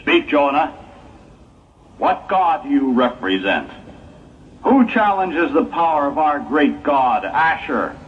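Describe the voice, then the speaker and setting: Man as haughty king, slow and sweeping. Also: fairly high pitch, very animated, phone-quality sound. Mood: haughty, demanding. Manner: regal, pompous, theatrical. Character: haughty pagan king of Nineveh, suspicious and demanding. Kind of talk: 1960s religious audio drama acting. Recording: thin, noisy 1960s recording.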